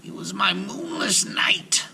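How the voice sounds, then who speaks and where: A man voicing a bossy, menacing old witch in a storybook, drawn-out and commanding, shifting between harsh whispers and shrieks. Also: high voice, big pitch swings, gravelly, bright, forceful tone, pitched above the performer's own voice, full character voice. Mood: proud.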